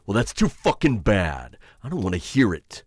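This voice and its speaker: Witch hunter, male actor, ASMR roleplay. Deep register with lively swings, intimate taunting menace, inner conflict underneath. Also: no echo, studio quality. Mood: mocking. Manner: slow, halting, intimate taunting address to listener.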